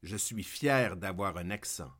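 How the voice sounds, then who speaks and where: A man's voice: sits low, a little slow, noisy background. A man from Quebec with a Québécois French accent, hosting a podcast and reading a scripted humorous monologue full of declamatory wordplay. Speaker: podcast host.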